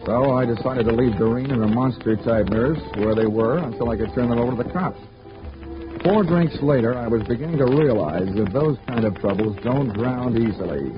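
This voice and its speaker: A man's voice: medium pitch, normal speed, close to an everyday voice. Guy playing a wisecracking hard-boiled insurance detective, world-weary, old radio show, music underneath, muffled sound. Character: wry, hard-boiled male insurance investigator. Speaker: narrator and lead. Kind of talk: 1940s radio acting.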